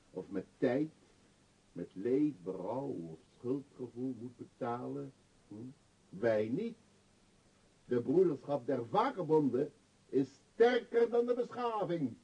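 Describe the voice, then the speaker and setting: A man's voice: medium pitch, dark tone, breathy edge, full character voice. A male actor playing a dreamy, free-spirited vagabond in a Dutch radio play, with a lyrical, musing delivery. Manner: lyrical, musing, rhetorical questions. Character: dreamy, free-spirited vagabond who scorns civilised life. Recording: dull, lo-fi old radio recording.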